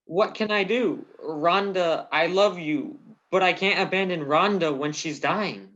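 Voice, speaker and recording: Soap parody husband played by a man: earnest, anguished, unhurried, on a muffled lo-fi radio play recording. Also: high-pitched, fairly bright tone. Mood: bewildered. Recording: dull lo-fi recording.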